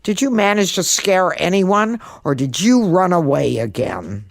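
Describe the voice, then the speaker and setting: Woman voicing a brisk, doting storybook ghost mother, swooping pitch. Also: big pitch swings, creaky, no room sound, clean studio sound, exaggerated voice. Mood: exasperated. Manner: coaxing, firm endearments. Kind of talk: storybook character voice.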